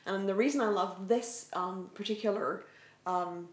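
Woman in her forties, medium pitch, casual vlog co-host, book chat, roomy indoor sound. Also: a little slow. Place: indoors, living room on a couch.

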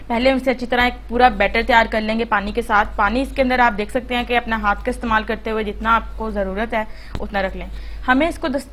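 A woman's voice: on the high side, a bit bright, slight background noise. A woman hosting a home cooking channel, explaining a recipe step by step in a chatty, instructive voice-over full of fillers.

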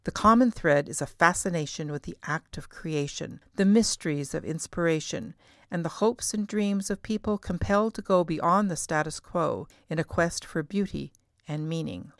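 A middle-aged woman reading scripted podcast narration as host, in a measured, carefully enunciated way. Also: low voice, no room sound, clean studio sound.

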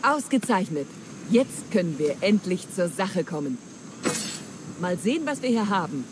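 An actress playing a wily, flattering pirate treasure hunter in a dramatic German game dub, with theatrical, coaxing delivery. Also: female voice, fairly low pitch, unhurried. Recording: clear ambient noise.

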